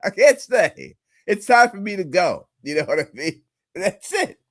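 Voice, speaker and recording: A man's voice: high voice, very animated, a little slow, dry recording, studio quality. A man co-hosting a live reaction show in blunt, casual chat, repeating himself and swearing freely. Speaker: co-host.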